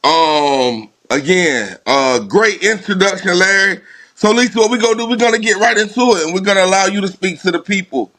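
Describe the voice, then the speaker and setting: Bright, hyped-up podcast host man. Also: high-pitched, brisk. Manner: energetic, rhythmic, half-sung hype. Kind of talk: hyped show intro and interviewing.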